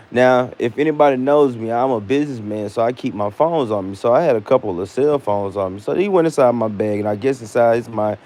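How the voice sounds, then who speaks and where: American male vlogger, casual storyteller, slangy and animated, mid-pitched voice. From United States. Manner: animated retelling with slang and acted-out dialogue.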